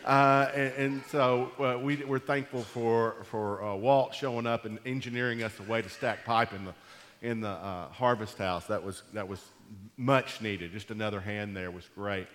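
A man preaching to his church in a folksy, rambling way, his voice gone deep and congested from allergies. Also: fairly even intonation, normal speed, a bit bright, big-room sound. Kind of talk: sermon. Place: church sanctuary.

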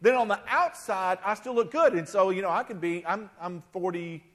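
A man in his forties delivers a sermon with a notably high voice, speaking plainly and conversationally. He peppers his points with quick asides and gentle self-mockery. The sound rings with a long reverb, as in a church sanctuary. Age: 40s. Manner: conversational, self-deprecating, frequent "right?" asides. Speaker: pastor.

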